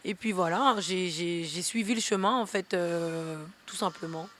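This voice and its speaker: A woman's voice: fairly low pitch, moderate pace, a bit bright, slight background noise. Young woman in an interview. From France. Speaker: singer, interview guest. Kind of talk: casual interview answers.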